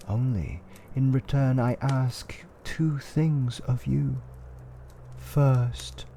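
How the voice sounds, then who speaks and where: Man voicing gentle star spirit, raised pitch. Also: slight background noise. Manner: gentle, calm, unperturbed, stately. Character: devious storm spirit posing as a gentle, calming star. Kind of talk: fantasy storybook ASMR roleplay.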